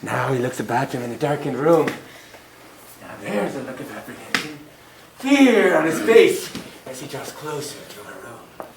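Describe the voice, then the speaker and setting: An actor playing a sinister hermit storyteller, narrating a radio horror tale in a melodramatic, menacing, drawn-out way. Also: male voice, medium pitch, very animated, gravelly. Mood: ghoulish relish. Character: the Hermit, sinister cackling storyteller of murder tales. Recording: distant, echoey, noisy old radio recording.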